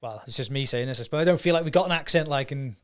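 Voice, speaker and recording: A man's voice: mid-range voice, fast talker. A young man hosting a podcast, chatting in a laid-back, friendly way. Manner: laid-back, chatty, says "mate" and "innit". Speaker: podcast host. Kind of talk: casual podcast chat.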